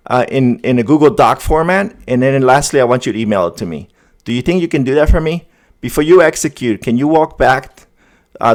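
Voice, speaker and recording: A man hosting a casual, conversational demo, talking viewers through each step as he goes. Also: medium pitch. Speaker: host demonstrating an AI agent setup. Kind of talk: casual screen-demo narration.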